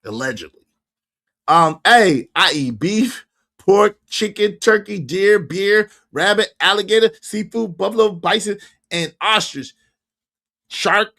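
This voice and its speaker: A man around fifty hosts a casual livestream from home. His voice is bright and sits a little high. He talks animatedly, laughing and reacting to viewers' comments. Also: animated, slow, gravelly. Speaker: livestream host.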